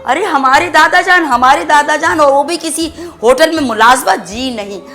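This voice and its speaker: A woman's voice: high voice, normal speed, bright, forceful tone. A proud, easily offended old grandmother. She scolds in flowery, formal phrases, in theatrical family-comedy style. Faint music sits behind her. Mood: offended, mocking. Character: haughty, indignant old grandmother, wife of a Lucknow nawab.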